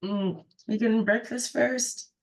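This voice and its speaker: A woman's voice: a little high, steady tone, unhurried, darkish tone. An actress playing a supportive woman in her early twenties in a live script read. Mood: gentle. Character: sleepy, supportive 21-year-old woman.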